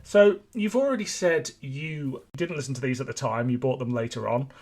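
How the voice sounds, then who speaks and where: A man's voice: mid-range voice, normal speed, darkish tone, faint music behind. A man hosting a podcast, moving between scripted narration and casual chat. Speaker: podcast host.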